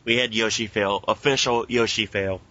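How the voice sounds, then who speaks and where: A man co-hosting a casual podcast with teasing, profane banter and halting, informal review talk. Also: on the low side, fairly even intonation, sharp tone. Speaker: podcast host. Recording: muffled lo-fi internet call recording.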